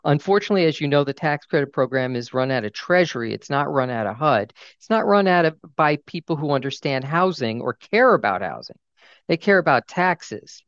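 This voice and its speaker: Smooth-voiced man, slightly muffled interview audio. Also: fairly high pitch, very animated, brisk, fairly bright tone, no room sound. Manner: emphatic, repeats phrases for stress. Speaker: interviewee, housing tax credit expert. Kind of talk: informal interview remarks. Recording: dull, lo-fi dry recording.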